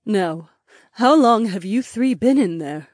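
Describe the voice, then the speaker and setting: Woman playing a gentle, composed fantasy heroine. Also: medium pitch, lively intonation, dry recording, clean studio sound. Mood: practical. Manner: gentle, measured. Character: gentle, composed, reassuring young woman ally.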